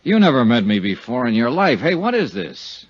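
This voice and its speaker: A man's voice: mid-range voice, big pitch swings, moderate pace, slightly rough, no echo. A man playing a clipped, wry, hard-boiled insurance investigator and narrator in a 1950s radio detective drama. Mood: suspicious. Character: brisk, dry male insurance investigator narrating his case. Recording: dull 1950s radio recording with music.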